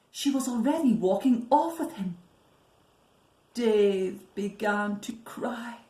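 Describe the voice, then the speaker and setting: A woman reading a picture book to young children as a storyteller, deliberate and expressive, often hushed for effect. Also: on the high side. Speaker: library storyteller. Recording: roomy, echoing sound.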